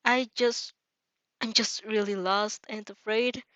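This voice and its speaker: A woman's voice: medium pitch, fairly even intonation, slow, a bit bright, no echo, dull audio. A woman voicing a lost, grateful fourteen-year-old vampire girl in an audio fan story, earnest and effusive. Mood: anxious, pleading.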